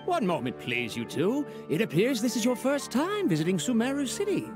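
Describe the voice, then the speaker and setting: A woman's voice: on the high side, wildly swooping pitch, a little fast. A woman playing a courteous official greeter in a video game, explaining things in a polite, formal way. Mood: informative, polite. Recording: loud music behind the voice.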